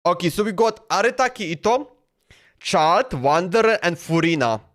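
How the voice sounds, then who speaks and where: A man's voice: high-pitched, expressive, normal speed, fairly bright tone. A man livestreamer chatting casually in a slangy, exclamatory way as he reacts, often repeating himself. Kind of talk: casual reaction stream chat. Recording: background music under voice. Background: music.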